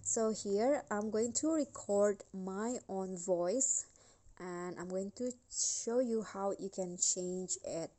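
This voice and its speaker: A woman hosting a tutorial, narrating software steps in a casual style. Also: medium pitch, slow. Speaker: tutorial host.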